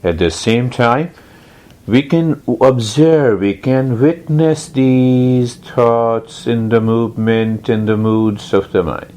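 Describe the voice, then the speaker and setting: A man teaching guided meditation in a deliberate, explanatory manner, repeating key phrases and posing questions as he instructs. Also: medium pitch, a little mellow.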